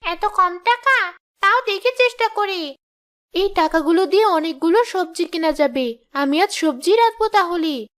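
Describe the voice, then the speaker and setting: Very high-pitched young daughter-in-law. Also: female voice, expressive, unhurried, fairly bright tone, heavily acted. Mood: worried. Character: young daughter-in-law, eager to please her elder. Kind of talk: animated fairy-tale cartoon voice acting.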